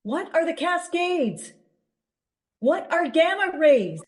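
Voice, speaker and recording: Female actor as insistent know-it-all, radio play. High, very animated voice, short eager lines. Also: takes it slowly, heavily acted. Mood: smug, quizzing. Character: pushy, eager, shrill know-it-all woman.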